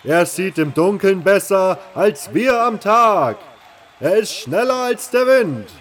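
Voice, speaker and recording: Booming, high-pitched fanatical arena crier. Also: male voice, lively intonation. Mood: bombastic announcing. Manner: slow, loud, drawn-out proclaiming. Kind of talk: fantasy audio drama declamation.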